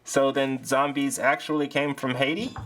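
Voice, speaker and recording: A man's voice: mid-range voice, flat delivery, moderate pace, over music, natural voice. A man voicing a naive, inquisitive young robot son in a bedtime story show. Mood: curious. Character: curious young robot son asking questions.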